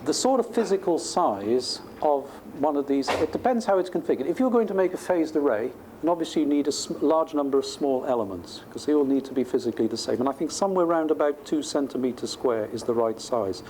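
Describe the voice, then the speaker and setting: A man's voice: on the high side, lively intonation, a little fast, few pauses, mellow. A male professor explaining technical ideas informally in a lecture, thinking aloud in a conversational way. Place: lecture room at a university. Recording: clearly noisy lecture room recording.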